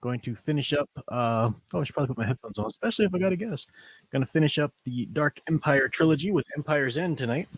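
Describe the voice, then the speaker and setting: A man's voice: a little high, animated, fast, husky, dry recording, poor audio. A man hosting a casual live-stream book discussion, talking in an informal, rambling way. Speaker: host. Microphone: newly borrowed, older studio microphone.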